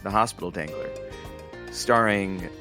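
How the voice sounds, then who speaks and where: Lowish-voiced radio announcer over music. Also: male voice, unhurried. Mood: dramatic, promotional. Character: male radio station announcer and producer sidekick. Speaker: radio show sidekick announcer. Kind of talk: radio announcing in audio comedy.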